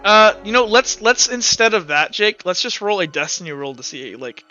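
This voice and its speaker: Guy game mastering a tabletop rpg, high bright voice, casual and animated storyteller, bit of music behind. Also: moderate pace, highs cut off. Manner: informal, animated storytelling with sound effects. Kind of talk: narrating and refereeing a tabletop role-playing game among friends.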